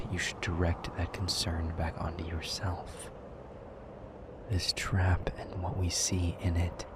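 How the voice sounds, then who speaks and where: A man playing a brooding, wounded demon lover in an intimate audio roleplay, speaking in a hushed, breathy, measured way. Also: deep voice, heavy background noise. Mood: guarded concern.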